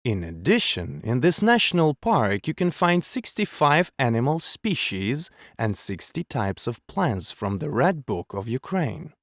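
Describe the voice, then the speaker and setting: A man reading scripted narration in a measured, clearly enunciated documentary manner. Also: mid-range voice, dry recording, studio quality. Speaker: voice actor.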